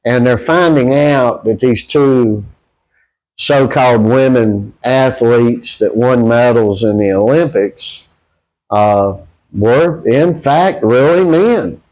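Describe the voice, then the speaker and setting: A man with a Southern US accent giving casual, rambling opinions to viewers in a halting, folksy, blunt way. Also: medium pitch, darkish tone, low-quality recording. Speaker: boxing channel host.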